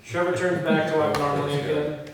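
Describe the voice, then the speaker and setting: A man's voice: a little high, little pitch movement, a little fast. A man reading script directions aloud at a table read and chatting casually about them. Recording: echoey room, distant mic, faint noise.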